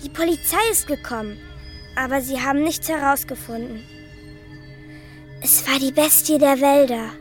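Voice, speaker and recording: A woman's voice: normal speed, long pauses, faint music behind, close to an everyday voice. A woman playing an earnest little girl. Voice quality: high childlike voice. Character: young girl telling scary stories, earnest.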